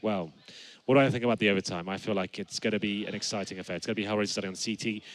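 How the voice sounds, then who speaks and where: A young man casting an esports match from a studio on a headset mic, his voice still raspy from a flu. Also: lowish voice, very fast. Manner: rises to excited calls on big plays. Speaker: esports caster. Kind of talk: play-by-play commentary. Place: studio set with gaming stations.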